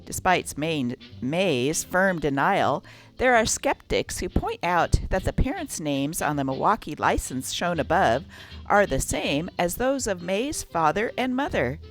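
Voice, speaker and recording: A woman's voice: lowish voice, sing-song, a little slow, faint music behind. A woman narrating from a script as host, reading an old newspaper aloud.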